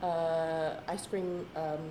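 A woman's voice: sits low, drawn-out words, a little hiss or hum. Indonesian woman with American-tinged English. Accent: American-sounding English. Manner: chatty, full of fillers and lively retold quotes. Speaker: guest. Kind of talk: casual conversation. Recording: echoey room.

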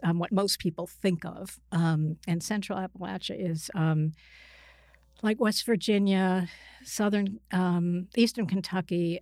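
A woman speaking as an expert guest in a conversational interview, explaining things haltingly and often correcting herself. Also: fairly low pitch, no echo, studio quality.

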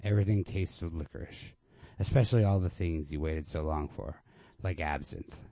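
A man's voice: a bass voice, moderate pace, dark tone, dry recording. A man voicing a restless, wry, needling young woman in a storybook read-aloud, pointed and questioning.

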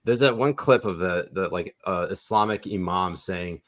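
Deep-voiced man in rambling political chat. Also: moderate pace. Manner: rambling, lots of filler words.

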